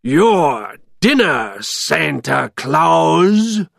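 An indignant old Father Christmas, acted by a man telling a story. He protests loudly in a raised pitch, defiant and emphatic. The delivery is slow and swings up and down. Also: sing-song, vocal fry, dry recording, clean studio sound, heavily acted. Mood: indignant despair.

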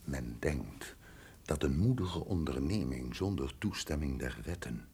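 An actor playing a grave, guarded count in a radio drama, speaking formally and deliberately. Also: male voice, very deep voice, animated, warm tone, slight background noise, heavily acted. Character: grave, measured count, guarded adviser to a duke. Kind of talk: theatrical Dutch radio drama acting.

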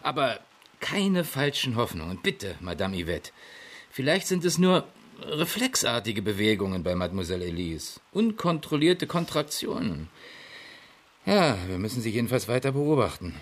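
An actor playing a brisk, dismissive male doctor in a radio drama, speaking in a clinical, offhand way. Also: mid-range voice, big pitch swings. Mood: cautioning, clinical.

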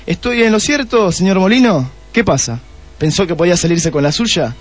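A man's voice: high-pitched, soft background music. A man playing a smug, theatrical police detective with an Argentine accent in a comedic radio drama. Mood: triumphant, explaining. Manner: self-satisfied, explaining with dramatic pauses.

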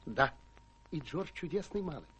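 Very raspy, bashful gentleman. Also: on the high side, sing-song, moderate pace, heavily acted. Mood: flustered, bashful. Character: bashful, blustering gentleman back from India. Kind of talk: theatrical radio drama acting.

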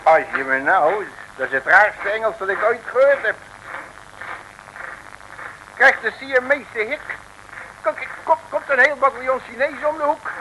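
An excitable, grumbling detective with a high, piercingly bright voice. He blusters and exclaims in folksy turns of phrase. It is old radio serial acting with faint recording noise. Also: unhurried, pauses often, full character voice, low-quality recording. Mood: astonished.

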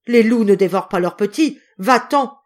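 A woman's voice: on the low side, expressive, normal speed, warm tone. A woman voicing an anguished young wife and mother, desperate and theatrical, in a dramatic story reading. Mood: desperate outrage. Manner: impassioned, pleading, theatrical.

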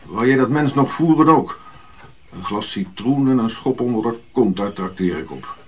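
An actor playing an irritable, haughty old African prince in a radio drama, grumbling, scolding and given to reminiscing. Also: male voice, mid-range voice, expressive, normal speed, a little mellow, lightly acted. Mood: irritated.

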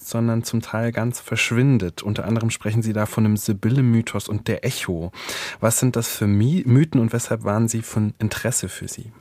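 A man poses prepared interview questions. His voice is of average pitch. The recording is dry, with no audible room. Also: a little mellow, no echo.

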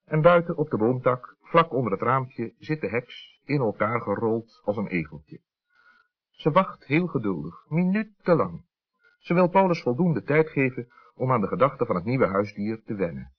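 A man narrating a scripted children's story as an expressive storyteller. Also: mid-range voice, normal speed. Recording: dull 1960s radio recording.

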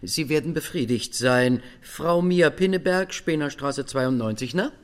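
An actor playing a spiteful office colleague in a German radio drama, mocking and gloating. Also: male voice, on the high side, lively intonation, a little slow.

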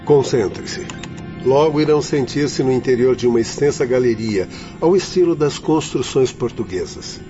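An actor playing an authoritative spirit doctor in a Brazilian radio drama, formal and measured as he instructs. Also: medium pitch, lively intonation, natural voice. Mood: composed, instructive. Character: composed, authoritative male spirit doctor guiding apprentices. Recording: dull lo-fi radio drama, loud music behind.

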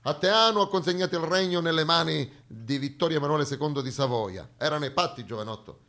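A man's voice: a little high, fast talker, pushed and bright, voice pushed up. Grumbling, grandiose old general, played by a male stage actor, thick Genoese accent with a Spanish tinge, theatre monologue. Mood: blunt. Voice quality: thick foreign-tinged Genoese-style speech.

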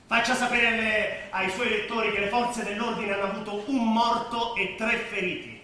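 An actor playing a stern, contemptuous police brigadier in a stage drama, curt, officious and commanding. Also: male voice, high voice, fairly even intonation, normal speed, sharp tone. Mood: officious coldness. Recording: echoey theatre stage, distant, faint noise.

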